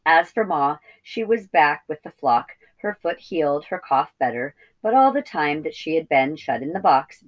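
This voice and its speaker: A woman reading a children's chapter book aloud in an expressive teacher's storytelling style. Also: sits low, highs cut off.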